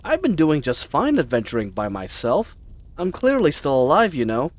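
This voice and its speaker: A man's voice: on the high side, huge pitch swings, moderate pace. A man playing a bumbling, kind-hearted adventuring knight in a roleplay audio drama, rambling to the listener in a hesitant, awkwardly earnest way. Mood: confused, defensive.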